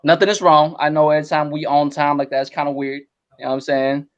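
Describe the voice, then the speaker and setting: A man's voice: a little high, flat delivery, fast talker. Chill guy on a podcast, lots of slang, sounds a bit muffled. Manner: laid-back, slangy, repeats "you know what I'm saying". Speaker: podcast co-host. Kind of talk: casual group chat. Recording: dull lo-fi mic.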